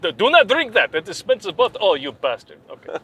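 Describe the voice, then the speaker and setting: A man's voice: high-pitched, very animated, quick pace, bright, forceful tone, husky, pitched above the performer's own voice, full character voice. A man voicing a boastful Russian groom in a put-on Russian accent, broken English. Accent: fake Russian. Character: gruff Russian man married to a doll.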